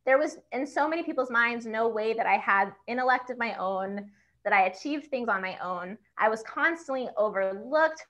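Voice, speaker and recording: A young woman author with a high voice, speaking outspokenly and laughing often in a book event conversation. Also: lively intonation, normal speed, a bit bright.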